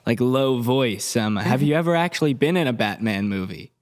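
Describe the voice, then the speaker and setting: A man's voice: medium pitch, expressive, normal speed. Male actor guest, mid-range voice, wry informal banter, a little hesitant. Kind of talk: casual joking chat.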